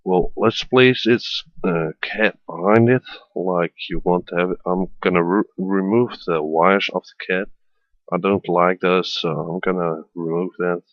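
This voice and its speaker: A man from the Netherlands with a Dutch accent, hesitantly narrating a software tutorial as a voiceover with many fillers. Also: sits low, steady tone, a little slow, no room sound, dull audio. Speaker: tutorial maker. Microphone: voiceover at a computer.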